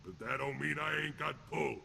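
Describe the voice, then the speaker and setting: An actor playing a crude, sneering alien thug and ex-convict enforcer in a video game, taunting in blunt street-gangster slang with a rough voice. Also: male voice, a little high, normal speed, sharp tone, slight background noise, heavily acted. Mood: smug, gruff. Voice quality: gravelly, rough. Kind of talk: video game character acting.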